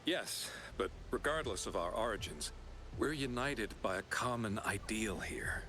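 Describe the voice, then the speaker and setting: An earnest, animated observatory keeper. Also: male voice, fairly low pitch, a little slow, noisy background. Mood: composed, resolute. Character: earnest male observatory keeper rallying fighters. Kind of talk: video game voice acting. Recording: game music behind the voice.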